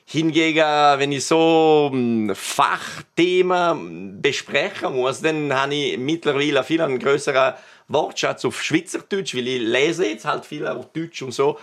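A man from Italian-speaking Switzerland, a native Italian speaker with a Swiss Italian accent, answering interview questions haltingly with repeated words and tag questions. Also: fairly high pitch, animated, a bit bright. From Bergell, Italian-speaking Switzerland. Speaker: cabaret artist and guest.

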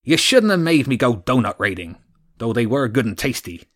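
A man's voice: mid-range voice, big pitch swings, normal speed, fairly bright tone. A man voicing a dim, eager male seagull guard who chatters fussily and anxiously tries to please his master. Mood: grumbling.

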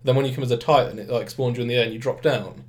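A man's voice: medium pitch, steady tone, very fast, darkish tone. A young British man hosting a podcast, chatting casually about games while nursing a head cold that makes him sound a bit nasal and blocked up. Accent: British English. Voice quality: slightly nasal. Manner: rambling, restarts, acts out quotes. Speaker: podcast host. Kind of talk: casual gaming chat.

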